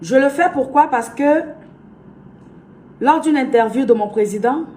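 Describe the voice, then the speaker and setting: Earnest Ivorian woman blogger, fairly high voice. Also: expressive, a little slow, a little mellow, a little hiss or hum. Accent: Ivorian French. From Côte d'Ivoire. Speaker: blogger and influencer. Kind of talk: direct-to-camera address to followers.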